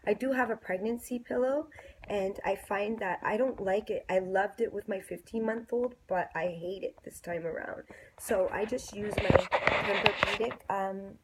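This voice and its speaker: A woman's voice: medium pitch, normal speed, noisy background. A young woman with a congested, nasal voice, chatting to her viewers in a pregnancy vlog, confiding and full of ums. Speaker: pregnancy vlogger. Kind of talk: casual chat to camera. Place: bedroom, indoors. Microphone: phone camera.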